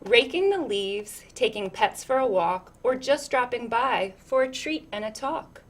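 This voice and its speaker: Slow-paced, higher-voiced woman reading to children. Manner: animated, engaging listeners with questions and asides. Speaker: storyteller reading a picture book to children. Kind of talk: expressive children's read-aloud with chatty asides.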